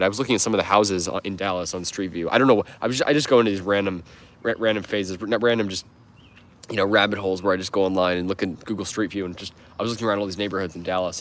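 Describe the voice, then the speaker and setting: A man's voice: low-pitched, a bit bright. A man with a stuffed-up cold voice, chatting casually on a livestream, rambling with lots of fillers and restarts. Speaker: livestream host.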